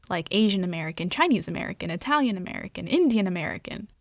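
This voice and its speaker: A woman from New York, a journalist, reading a scripted personal story in a reflective, measured way. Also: mid-range voice, dry recording.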